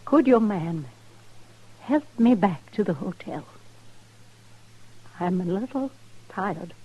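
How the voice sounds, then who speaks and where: An elderly, proud grandmother in an old radio western. She speaks with formal dignity, taking her time over each phrase in a rough-edged, husky voice. Her temper is stern and unbending. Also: medium pitch, expressive, slow pace, dark tone, muffled recording. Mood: weary, sorrowful. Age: older. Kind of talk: 1950s radio western acting.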